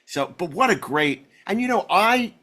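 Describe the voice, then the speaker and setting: A man hosting a podcast, chatting casually about sci-fi television in a rambling, excitable way with stammered repeats. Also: high-pitched, normal speed. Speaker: podcast host.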